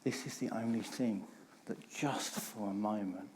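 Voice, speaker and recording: A man's voice: on the low side, unhurried, noisy background. Candid man telling his story. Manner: candid, emphatic storytelling with repeated phrases. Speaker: conference speaker. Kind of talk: personal recovery talk.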